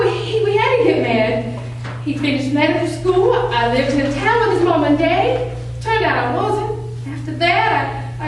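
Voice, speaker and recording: A woman acting a proud, defensive middle-aged Southern American doctor's widow in denial, halting and stammering, in a staged play reading. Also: very high voice. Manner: halting, stammering, repeating words. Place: echoey room at a live staged reading. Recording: echoey room, distant mic, faint noise.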